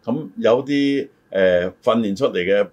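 A man's voice: lowish voice, unhurried, a little mellow. An older man, hosting a casual conversation in an explanatory way, readily interjecting. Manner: explanatory, often interjects to correct his partner. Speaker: talk show host.

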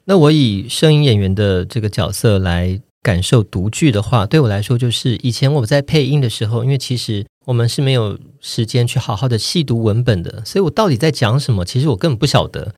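A man with a Taiwanese Mandarin accent and clearly enunciated, standard pronunciation, answering interview questions in a reflective, explanatory way as a voice actor. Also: mid-range voice, animated, a little fast, few pauses, a little mellow, dry recording, studio quality. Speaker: voice actor, podcast guest.

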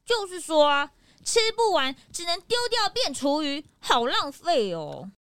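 Squeaky, whiny little kid sidekick. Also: female voice, very animated, unhurried, a bit bright, no echo, exaggerated voice. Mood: worried, whiny. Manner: whiny, childlike pleading. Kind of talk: children's audio drama acting.

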